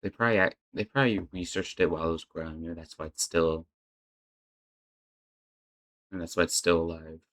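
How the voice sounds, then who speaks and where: A man's voice: sits low, fairly quick, long pauses, fairly bright tone. A man hosting a reaction video, giving casual off-the-cuff commentary as he watches.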